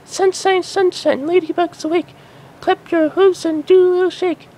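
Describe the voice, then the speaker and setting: A man voicing an excitable, playful young unicorn filly in a squeaky falsetto for a story. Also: moderate pace. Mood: playful singsong.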